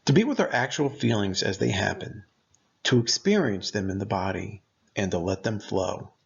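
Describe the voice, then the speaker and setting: A man narrating a guided meditation as its guide, speaking in gentle, deliberate short phrases. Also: lowish voice, expressive, vocal fry, highs cut off.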